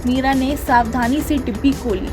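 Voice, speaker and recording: A woman's voice: high voice, fairly even intonation, moderate pace, over music. A woman reading a scripted children's story as the storyteller.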